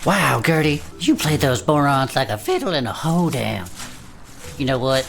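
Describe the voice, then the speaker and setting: A woman's voice: a bass voice, expressive, moderate pace, creaky, exaggerated voice. A woman playing a brash, folksy, sarcastic adventurer in an improvised audio drama, scolding with colorful turns of phrase. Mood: apologetic admiration. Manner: colorful insults, earnest and scolding.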